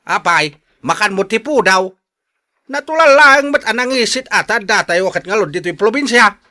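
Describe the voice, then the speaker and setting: A protective, scolding older Ilocano father in a radio drama. His voice is high for a man and piercingly bright, with an exasperated edge. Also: animated, normal speed. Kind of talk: melodramatic radio drama acting.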